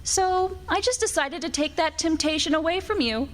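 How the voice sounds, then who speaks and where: A woman's voice: very high voice, normal speed. A woman playing a jealous, vindictive witch wife in a radio play, cooing endearments with menace. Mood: sweetly menacing. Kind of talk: stage radio play, 1940s-style acting.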